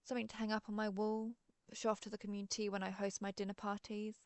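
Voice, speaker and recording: A woman's voice: mid-range voice, flat delivery, fast, clean tone, dry recording, natural voice. A woman playing a friendly, centuries-old female vampire who chats politely and wryly, as in a scripted roleplay interview. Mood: gracious, friendly. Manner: genteel, conversational, apologetic, gently wry. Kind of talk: scripted roleplay audio, one side of an interview.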